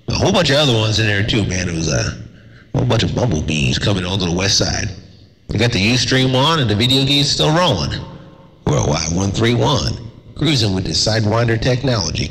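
A man's voice: fairly low pitch, expressive, a little fast, fairly bright tone, big-room sound, slight background noise, low-quality recording. Laid-back CB radio man with a cold. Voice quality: slightly raspy from a cold. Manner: laid-back, drawling, slangy. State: has a cold. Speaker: CB radio operator. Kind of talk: casual radio chatter. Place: outdoors station in the desert.

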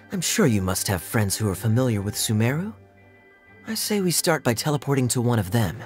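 Man playing a sly young knight, smooth, wry, drawling, lively intonation, anime game character, music behind. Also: mid-range voice, natural voice. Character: suave, teasing young male knight, sly and relaxed. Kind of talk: anime-style game voice acting.

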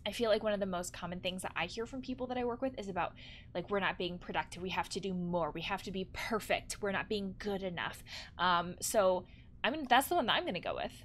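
A woman, perhaps in her thirties, giving expressive, exclaiming reaction commentary as she plays a video game. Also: medium pitch, fast, a little hiss or hum. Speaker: counselor playing and commenting on a game. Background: game music.

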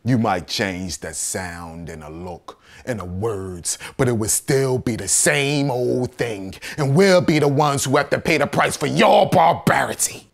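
A male actor performing a theatrical monologue as a bitter, righteous condemned rebel, in an accusatory, oratorical manner that swings between hushed and thundering. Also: mid-range voice, unhurried, vocal fry, few pauses. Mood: grave, accusing.

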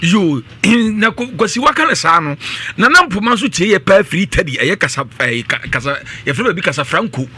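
A man's voice: sits high, very animated, a little fast, sharp tone, breathy edge, no echo. A man in his thirties with a Ghanaian accent, presenting live radio announcements and promotions emphatically, repeating phrases for emphasis. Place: small radio studio indoors. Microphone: close broadcast studio microphone.

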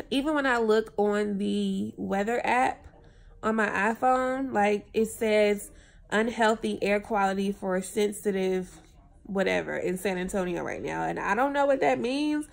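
A woman's voice: medium pitch, gravelly. Congested young woman vlogging from bed. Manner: tired, laid-back, chatty. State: congested, unwell. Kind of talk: casual vlog to camera. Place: hotel room, lying in bed. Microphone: phone held at arm's length.

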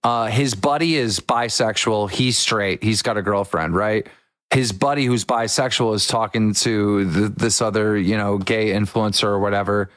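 Excitable young man telling a story. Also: fairly low pitch, no room sound. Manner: excitable storyteller, quotes people in quick bursts. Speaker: podcast host. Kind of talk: casual podcast chat.

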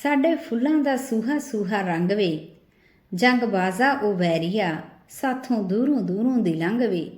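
A woman's voice: medium pitch, expressive, a little slow, a little mellow, natural voice. A woman voicing a defiant village poet in an audio story, reciting rhythmic challenging verse. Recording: roomy, echoing voice recording.

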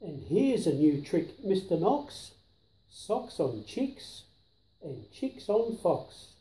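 An older man reading a children's picture book aloud with deliberate, emphatic delivery of the rhymes, recorded in a living room. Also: high voice, very animated, warm tone, echoey room, low-quality recording. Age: 60s or 70s.

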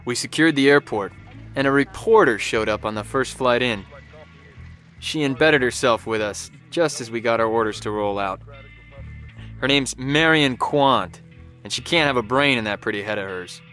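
An actor playing a cynical, battle-weary soldier narrating a war journal in a video game, in a wry, colloquial way. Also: male voice, mid-range voice, moderate pace, fairly bright tone, faint music behind, lightly acted. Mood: wry, scornful.

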